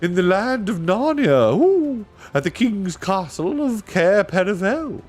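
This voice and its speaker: A big, flustered storybook owl, hooting in a very high voice that swoops widely. Vowels are stretched out and fussy, with a muttering courtly manner. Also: male voice, big pitch swings, soft background music, full character voice. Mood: curious, hooting. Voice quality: hooting, drawn-out falsetto vowels. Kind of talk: storybook character voice.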